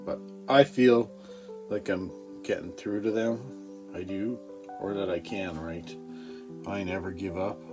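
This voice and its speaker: A man chatting casually in a halting, rambling, profane way. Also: deep voice, fairly even intonation. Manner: halting, profane, frequent throat-clearing. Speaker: amateur stand-up comedian. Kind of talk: rambling casual vlog chat. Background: guitar music.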